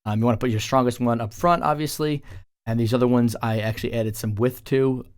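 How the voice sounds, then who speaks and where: A man's voice: mid-range voice, fairly even intonation, fairly quick, no room sound, studio quality. A man talking casually as a music producer, explaining his work in an informal tutorial voice-over. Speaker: music producer presenting a track breakdown.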